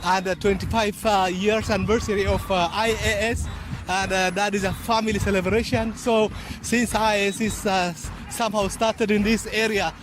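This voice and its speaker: A man's voice: sits high, level tone, normal speed, over music. A man from Ethiopia, a visiting guest, answering an outdoor interview eagerly with small stumbles.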